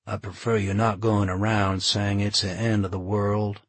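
A man's voice: fairly low pitch, little pitch movement, moderate pace, dry recording, close to an everyday voice. Man voicing a tense, stern small-town official. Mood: tense, hushed. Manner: tense, hushed, forceful.

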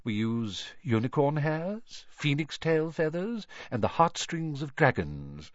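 A man voicing an eccentric, intense old wandmaker in an audiobook, soft-voiced and musing, savouring each detail. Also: fairly high pitch, big pitch swings, takes it slowly, no room sound, lo-fi sound, pitched above the performer's own voice. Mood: instructive.

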